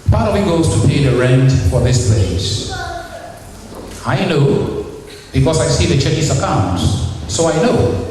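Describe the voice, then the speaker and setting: A man's voice: fairly high pitch, sing-song, a little slow, background noise. A middle-aged man from Ghana with a Ghanaian accent, preaching a sermon in a church and firing rhetorical questions at the congregation. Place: church hall. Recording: echoey hall, mic far off.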